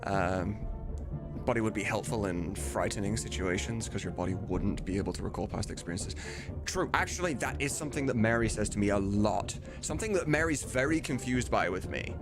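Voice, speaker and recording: A low-pitched man in his thirties talks off the cuff to his live stream audience. His delivery swings widely up and down as he rambles candidly, with music clearly playing behind him. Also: animated, fairly quick. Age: 30s. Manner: rambling, candid, swears casually. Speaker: live streamer, game dub maker. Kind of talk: casual live stream chat.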